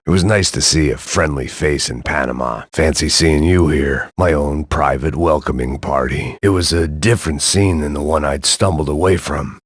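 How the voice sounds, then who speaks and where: A man playing a jaded, middle-aged American action hero, narrating a noir video game in a gravelly, sardonic deadpan. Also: very deep voice, no echo, clean studio sound. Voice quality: gravelly, husky. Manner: world-weary, sardonic, deadpan. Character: jaded hard-drinking middle-aged male action hero, cynical and bitter.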